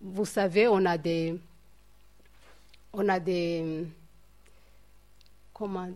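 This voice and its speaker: A woman's voice: fairly low pitch, fairly even intonation, very slow, darkish tone. A woman from Rwanda leading a Bible study in French. She talks in a hesitant, reflective way, with many fillers and repeated words. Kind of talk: informal Bible teaching.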